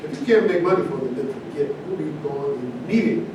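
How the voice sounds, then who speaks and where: Staged play, male actor as cynical ex-boxer: blunt, emphatic, slightly high voice, very reverberant noisy recording. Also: animated, fast, natural voice. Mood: blunt, insistent. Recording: distant roomy stage recording, rumbling noise.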